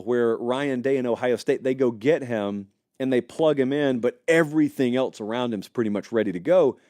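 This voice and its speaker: Animated man hosting a sports show. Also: medium pitch, dry recording, studio quality. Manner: animated, conversational, speculative, addresses live chat. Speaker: college football show host. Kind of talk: live-streamed sports talk show.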